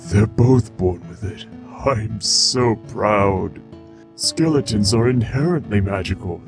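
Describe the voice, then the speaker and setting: A man playing a proud, sorrowful skeleton scientist and father, speaking in a measured, wistful way in a dramatic comic dub. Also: fairly low pitch, over music. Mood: proud, fond.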